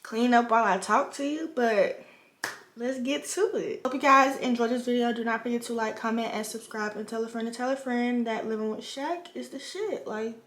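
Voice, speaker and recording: A woman in her twenties from the Virgin Islands talks straight into the camera at her vanity, frank and sisterly, and swears freely. Her voice sits a little high. The room gives off a clear echo. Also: normal speed, a bit bright, slight background noise. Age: 20s. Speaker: vlogger. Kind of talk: casual chat while doing makeup.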